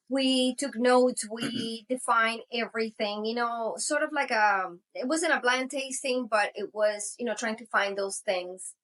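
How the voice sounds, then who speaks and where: A woman's voice: mid-range voice, a bit bright, low-quality recording. A Venezuelan-born woman, a native Spanish speaker in her forties, telling her story casually in an interview, full of "you know" and light laughs. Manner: rambling, many "you know" fillers, laughs often. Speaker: tequila brand co-founder, guest.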